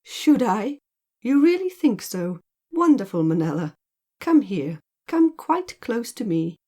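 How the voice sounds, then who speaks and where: A mellow-voiced storybook gentleman, acted out by a woman reader, lazy and teasing. He speaks in playful rhetorical flourishes, mock-solemn and theatrical. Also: medium pitch, expressive, moderate pace, close to an everyday voice. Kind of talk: audiobook character dialogue.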